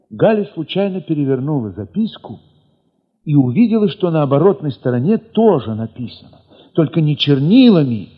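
A middle-aged man reading a first-person story as expressive, reflective dramatic narration, with weighty pauses and a husky voice. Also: mid-range voice. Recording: muffled, long reverberant hall sound.

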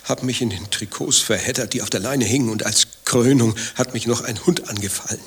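A man's voice: mid-range voice, fairly quick, slight background noise, natural voice. An actor playing an anxious, grumbling married man with a secret lover, telling his troubles in fretful clipped phrases in a satirical radio play. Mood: exasperated. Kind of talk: dialogue-driven satirical radio play acting.

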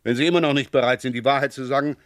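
In a dry studio, a male actor plays a persistent police inspector from a vintage radio crime drama. His mid-pitched voice is deliberate and ironic, needling as he interrogates. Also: no room sound, natural voice. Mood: stern, threatening.

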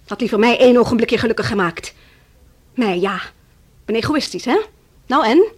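An actress playing a defiant, scornful wife in a Dutch radio drama, with theatrical delivery. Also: female voice, a little high, expressive, normal speed, husky. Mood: bitter defiance. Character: defiant, mocking wife sparring with her husband.

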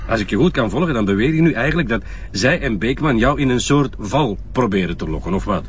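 An actor playing a sensible, questioning police inspector and loyal sidekick, with a Flemish accent, in a naturalistic radio drama. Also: male voice, medium pitch, animated, fast talker, recorded from a distance, highs cut off, slight background noise, natural voice. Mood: sceptical, concerned.

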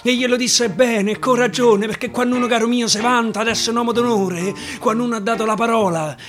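A man with a Roman accent reciting a dialect monologue theatrically, swinging between hushed murmurs and loud declaiming. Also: very high voice, steady tone, fairly quick. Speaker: actor reciting a dialect narrative poem. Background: acoustic guitar music.

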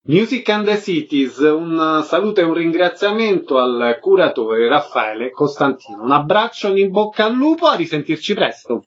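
A man's voice: on the high side, sing-song, normal speed, few pauses. A man hosting a radio interview with short questions. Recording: slightly dull radio sound.

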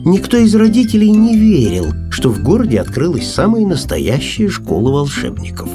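A man tells a children's story with hugely swooping intonation. Guitar music plays clearly behind his narration. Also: fairly high pitch, huge pitch swings, normal speed. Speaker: audiobook narrator.

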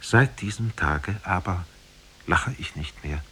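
A man's voice: deep voice, animated, takes it slowly, bright, forceful tone, exaggerated voice. An actor playing a grieving man who has stopped laughing, telling his tale in solemn, mournful 1950s radio drama style, on hissy old tape. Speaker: storytelling character in a radio play. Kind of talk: 1950s German radio drama, literary fairy-tale narration.

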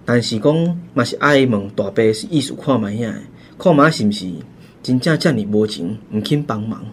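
A man's voice: mid-range voice, lively intonation, normal speed, lightly acted. Dutiful son, male actor, radio drama: earnest, persuasive, mid-range, Taiwanese Hokkien accent, light background noise. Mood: earnest pleading. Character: dutiful eldest son pleading earnestly with his mother.

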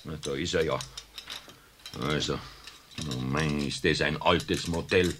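An actor playing an easily offended, curt mechanic with a Bavarian accent in a children's radio play. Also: male voice, a bass voice, fairly even intonation, slow, background noise. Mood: irritated. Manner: indignant, curt, stubborn.

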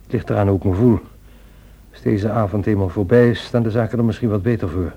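A man acting in a radio play as a weary, guilt-ridden husband, speaking in an uneasy, halting way. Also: lowish voice, fairly even intonation, mellow, husky. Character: tired, guilt-ridden husband, haunted and defensive.

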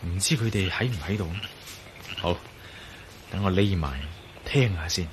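A man acting a resolute, wary yet reassuring adventurer in a radio drama, with a Hong Kong Cantonese accent, speaking in colloquial, expressive monologue. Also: sits low, normal speed, noisy background, close to an everyday voice. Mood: determined, musing. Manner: colloquial, self-talking, expressive.

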